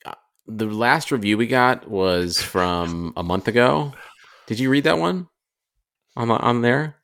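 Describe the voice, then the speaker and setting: Gravelly, animated man trading sarcastic jokes. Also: medium pitch, measured pace. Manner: sarcastic, joking, blunt. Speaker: podcast co-host. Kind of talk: casual podcast chat.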